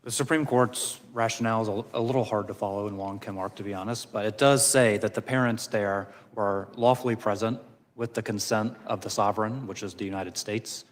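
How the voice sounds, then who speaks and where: A man giving expert testimony at a committee hearing, answering in a measured, candid way. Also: on the low side, steady tone. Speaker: expert witness. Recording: long reverb of a hearing room.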